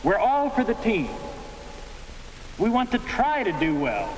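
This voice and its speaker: Casting: earnest American school sports hero addressing an assembly, slow deliberate speech, long hall echo, vintage film sound. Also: male voice, sits high, wildly swooping pitch, full character voice. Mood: earnest, rallying. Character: admired high school athlete rallying his schoolmates. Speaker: student speaker at assembly. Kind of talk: 1950s educational film acting, pep speech. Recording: echoey hall, hissy 1950s film soundtrack.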